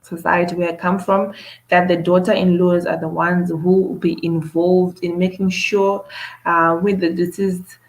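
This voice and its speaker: A woman from Zimbabwe hosts her own show in a casual monologue. Her voice sits on the low side and she talks slowly. She pauses on "um" and restarts her sentences again and again. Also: little pitch movement, low-quality recording. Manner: hesitant, many "um" fillers and restarts. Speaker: show host.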